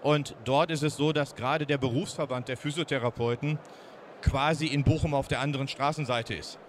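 A man in his fifties with a Ruhr German accent, pitching his startup's product at a trade fair booth into a handheld mic, folksy and lively with many fillers. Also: a little fast, slight background noise. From Ruhr area, Germany. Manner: lively, folksy, fillers, speeds up when proud. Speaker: startup founder presenting.

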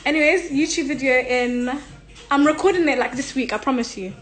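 Young woman, twenties, high-pitched, brash and sweary, casual phone livestream Q&A, light music underneath. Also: a little fast. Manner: blunt, profane, laughing, reads comments aloud. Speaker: social media personality answering viewer questions. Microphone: phone held close, selfie framing.

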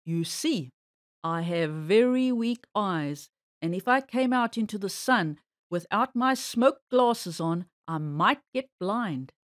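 Woman voicing a sly, slow-drawling storybook bear. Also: mid-range voice, animated, no echo, studio quality, voice pushed down. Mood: evasive, sly. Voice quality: harsh. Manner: coaxing, drawn-out, boastful. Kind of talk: children's storybook character voice.

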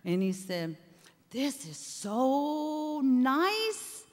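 A woman's voice: high-pitched, sing-song, very slow. An older woman on a theater stage, telling a heartfelt story to an audience at a stand mic and acting out the voices in it. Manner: storytelling, acts out quoted voices. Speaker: charity director. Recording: reverberant hall with audience.

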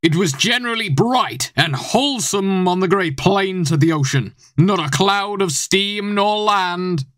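A man's voice: sits high, animated, measured pace, few pauses, fairly bright tone, no room sound, studio quality. A teenage boy narrating a scripted audio play in a theatrical storytelling manner.

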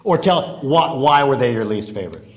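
A man's voice: medium pitch, big pitch swings, normal speed. A man, asking questions and chatting casually as an interviewer. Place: stage at a live panel table, indoors.

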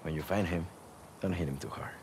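A man's voice: low voice, a little fast, a little mellow, slight background noise, natural voice. An actor playing a loyal, blunt soldier in his thirties in a game, talking in a casual, plain-spoken way. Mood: caring. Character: loyal, blunt young male militia soldier. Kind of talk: naturalistic game cutscene acting.

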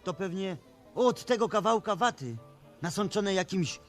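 A man's voice: high-pitched, animated, unhurried, soft background music. An actor playing an eager, protective, cheeky boy in a 1970s radio play.

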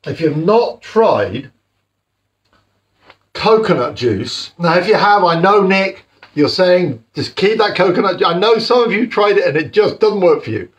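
A man in his fifties giving a jokey, animated home sermon as a house church preacher, filmed by a camera across his living room. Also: sits high, measured pace. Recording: slightly muffled home video.

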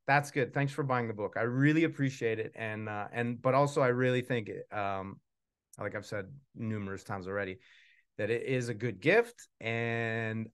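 A man's voice: medium pitch, fairly bright tone, smooth. A man in his forties chatting casually at a live book launch as a puppeteer and author, rambling and self-deprecating. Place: small indoor room with puppet stage.

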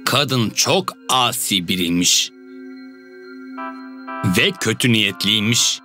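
Slow, expressive male fairy-tale narrator. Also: on the low side. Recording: loud music under the voice.

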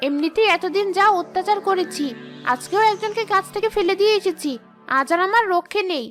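A greedy, mischievous tree ghost acted by a woman speaks in a piercingly high female voice. She schemes, grumbles and boasts in cartoon fashion over clear background music. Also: fairly quick, a bit bright. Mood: worried. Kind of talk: cartoon character acting.

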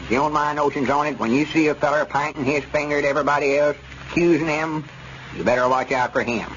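An actor playing a stubborn, suspicious old rural justice of the peace in a radio comedy, with a folksy Southern accent. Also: male voice, on the high side, fast talker, fairly bright tone, close to an everyday voice. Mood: suspicious, indignant. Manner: folksy, rustic, ungrammatical speech. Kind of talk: 1930s radio comedy acting. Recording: muffled, noisy old radio broadcast.

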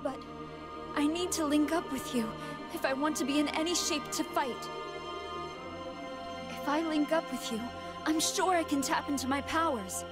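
A woman voicing a gentle, remorseful female android in an anime game, earnest and apologetic. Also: sits high, normal speed, pauses often, over loud music, close to an everyday voice. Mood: guilty, pleading.

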